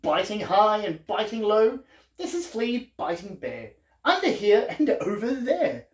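A man's voice: high-pitched, big pitch swings, unhurried, echoey room. A man in his thirties reading a picture book aloud to young children in a playful, exaggerated storyteller way. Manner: playful, exaggerated storyteller delivery with questions to the listener. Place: small living room, indoors.

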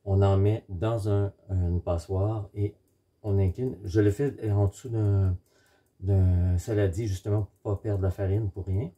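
A middle-aged man giving a step-by-step cooking demonstration in a home kitchen, explaining in short, halting instructional phrases. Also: lowish voice, fairly even intonation, mellow. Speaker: home baker presenting a recipe.

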